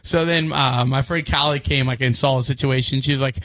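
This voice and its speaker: A man's voice: medium pitch, steady tone, fairly quick, a bit bright, no echo. Casual man in his thirties, dry sound. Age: 30s. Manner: storytelling, swearing, mimicking people. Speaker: podcast co-host. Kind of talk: casual chat.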